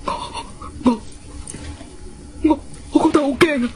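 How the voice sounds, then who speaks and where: Frightened, trapped young Cantonese man, high-pitched. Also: fairly even intonation. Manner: halting, repeats himself in distress. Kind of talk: Cantonese radio drama acting.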